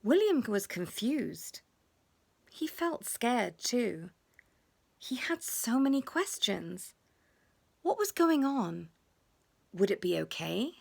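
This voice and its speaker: Lady reading a kids storybook, really animated, slow, bit of creak in her voice. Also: a little high. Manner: dramatic pauses, drawn-out emphasis, drops to hushed tones. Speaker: children's story reader. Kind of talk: expressive children's storybook narration.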